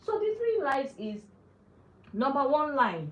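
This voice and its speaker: A woman's voice: high-pitched, sing-song, a little slow, echoey room, muffled recording. A woman hosting a live finance talk, speaking earnestly to her viewers and repeating phrases for emphasis. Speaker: personal finance host.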